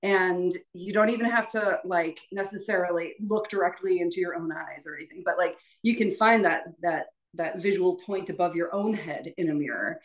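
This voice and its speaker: A woman voice teacher leading an informal prep session, explaining things in a halting, conversational and encouraging way. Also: fairly low pitch. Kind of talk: informal spoken lesson.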